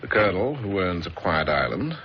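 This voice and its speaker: An actor playing an earnest British agent in a 1960s radio drama, quick to respond. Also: male voice, low-pitched, very animated. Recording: dull old broadcast recording with faint hiss.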